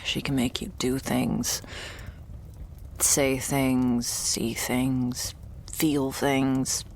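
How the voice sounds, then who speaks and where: A woman playing a guarded, sardonic young vampire's servant in an intimate ASMR roleplay, speaking softly and dryly, often near a whisper. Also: flat delivery, extremely slow, creaky, a little hiss or hum, full character voice. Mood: hushed, explaining. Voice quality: low, soft voice often dropping into whisper. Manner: dry, hesitant, foul-mouthed, sighing delivery. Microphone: close ASMR microphone.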